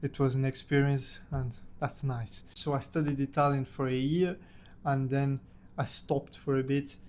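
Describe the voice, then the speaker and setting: A young man from Portugal, a native Portuguese speaker, casually telling a personal story about himself in English. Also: mid-range voice. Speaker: language-learning content creator.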